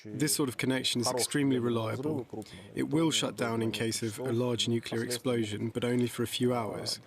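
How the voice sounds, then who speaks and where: A man's voice: medium pitch, fairly even intonation, a little fast, crowd noise. A man explaining in a news interview, recorded away from the microphone with other voices present. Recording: distant mic, voices behind.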